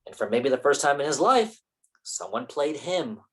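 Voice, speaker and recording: A woman's voice: deep voice, sing-song, moderate pace. A woman in a staged play reading, playing a defensive, ambitious male film actor with a casual, profane manner. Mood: casually defiant.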